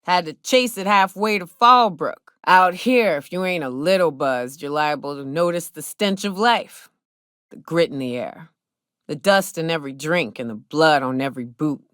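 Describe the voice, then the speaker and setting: An actress voicing a hard-drinking, wry frontier guide in a video game, with a blunt, folksy, sardonic drawl. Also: female voice, deep voice, moderate pace, fairly bright tone, dry recording, clean studio sound. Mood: boastful, wry. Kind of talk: video game character dialogue.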